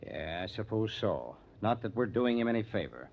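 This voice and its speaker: An actor playing a shrewd, unruffled police captain in an old-time radio drama, dry and matter-of-fact. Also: male voice, on the low side, sing-song, normal speed, slight background noise. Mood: dry, knowing. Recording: dull, lo-fi old radio recording.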